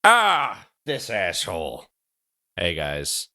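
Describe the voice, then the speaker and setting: A man's voice: deep voice, wildly swooping pitch, very slow, sharp tone, heavily acted. A man playing a grumpy, clipped podcast producer. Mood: grumbling. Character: grumpy male podcast producer, curt and irritated.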